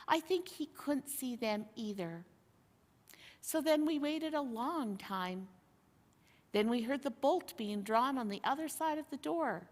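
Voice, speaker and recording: A woman reading a storybook aloud in an expressive, measured storytelling manner. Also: fairly high pitch. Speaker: reader. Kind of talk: storybook narration, read aloud. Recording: long hall-like reverb.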